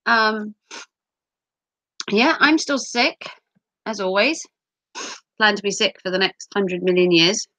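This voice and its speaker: A woman from the UK with a cold, wheezy and clearing her throat, chatting casually and rambling on a livestream with self-mocking jokes. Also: mid-range voice, slow pace, long pauses, bright, forceful tone, dry recording. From United Kingdom. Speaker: livestream host. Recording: dull lo-fi sound.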